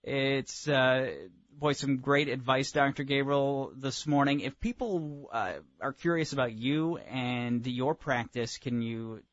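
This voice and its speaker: A man's voice: mid-range voice, fairly even intonation, slow pace, few pauses, no echo. A man with an American accent hosting a conversational radio interview, agreeable and a little hesitant in his phrasing. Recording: dull, dry radio audio.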